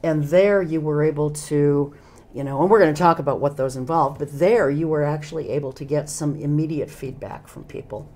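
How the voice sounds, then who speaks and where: Older hoarse woman hosting a talk show. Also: low voice, fairly quick, dark tone. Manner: affirming, asks follow-up questions. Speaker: host. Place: TV studio, indoors. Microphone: lapel mic.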